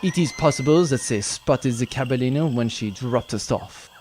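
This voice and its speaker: A man's voice: medium pitch, moderate pace, darkish tone, slight background noise, natural voice. An actor playing a dashing, boastful male spaceship captain in a comedic sci-fi audio drama, with a grandiose, theatrical manner. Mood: watchful.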